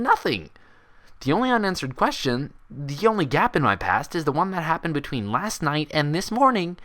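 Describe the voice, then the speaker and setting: A man's voice: high voice, bright, forceful tone, pitched above the performer's own voice, heavily acted. A man voicing an indignant young female unicorn pony in a story reading, bookish, stubborn and insistent. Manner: insistent, emphatic, argumentative.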